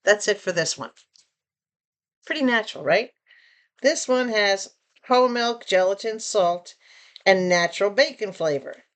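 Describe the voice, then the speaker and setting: A woman vlogger chatting casually through an unboxing, reading labels aloud and asking viewers questions, a bit hoarse from losing her voice. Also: mid-range voice, expressive, unhurried, pauses often, fairly bright tone. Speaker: vlogger reviewing purchases.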